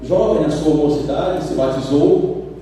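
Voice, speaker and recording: Brazilian male preacher, high mellow voice, echoey hall, heard from afar. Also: unhurried, recorded from a distance, slight background noise. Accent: Brazilian Portuguese. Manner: animated storytelling, acts out quoted voices. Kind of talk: sermon. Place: church sanctuary. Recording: roomy church hall from afar.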